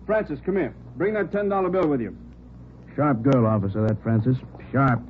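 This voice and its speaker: A man's voice: mid-range voice, normal speed, slight background noise, lightly acted. Man as a bossy theater manager, tinny radio play. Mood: brisk. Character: brisk male theater manager. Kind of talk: 1950s radio drama acting. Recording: tinny old radio broadcast.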